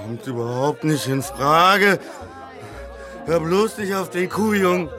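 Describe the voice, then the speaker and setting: Defiant teenage boy, high voice, slow drawn-out delivery, sneering, sarcastic, radio drama. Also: over music, a crowd in the background. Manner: sneering, cocky. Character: reckless, sarcastic seventeen-year-old farm lad, bitterly defiant. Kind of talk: German radio drama acting.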